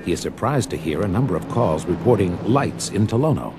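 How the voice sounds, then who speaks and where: Low male storyteller narrating audio drama, lively delivery, stuff playing behind him. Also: fairly quick, music in the background. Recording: old story record with backing sound.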